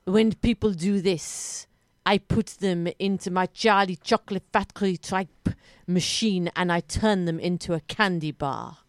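Woman doing a snooty belgian shop lady, french accent, deadpan and a bit threatening, sentences go up at the end, dry sound. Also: fairly low pitch, unhurried, dry recording, lightly acted. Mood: coolly menacing. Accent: French-speaking Belgian. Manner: indignant, deadpan, rising ends of sentences. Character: haughty, menacing Belgian sweet-shop keeper woman. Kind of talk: improvised comedy sketch.

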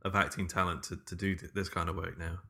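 A man with a slightly low, bright and forward voice. He co-hosts a podcast interview, talking casually and informally, as if chatting with friends. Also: flat delivery, quick pace, creaky, breathy edge. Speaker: podcast co-host.

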